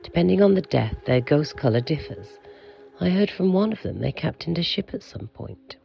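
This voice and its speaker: A man playing an aloof, pompous keeper of an institute for monsters in an audio drama, speaking in a formal, measured, curt way with a gravelly, husky voice. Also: a little high, expressive, muffled recording, soft background music. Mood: formal, informative.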